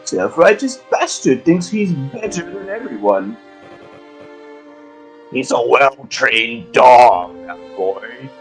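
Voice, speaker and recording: A man's voice: medium pitch, expressive, takes it slowly, long pauses. A man playing a bitter, sneering character in a drama, talking with drawling contempt about others. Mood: scornful. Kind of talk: dramatic game-script acting. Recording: music behind the voice.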